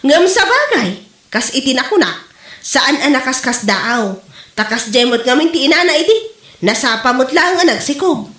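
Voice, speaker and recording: Woman acting a high-voiced, nosy Ilocano village gossip. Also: sing-song, moderate pace, fairly bright tone. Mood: gleeful gossiping. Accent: Ilocano Filipino. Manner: gossipy, mocking. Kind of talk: Ilocano comedy audio drama. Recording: roomy, echoing audio.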